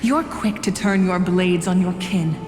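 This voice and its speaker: A woman playing a proud, resolute exiled warrior in a video game, speaking in a formal, measured, declamatory way. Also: on the low side, lightly acted. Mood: grave, compassionate. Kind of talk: scripted dramatic video game acting. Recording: echoey hall with long reverb, loud music.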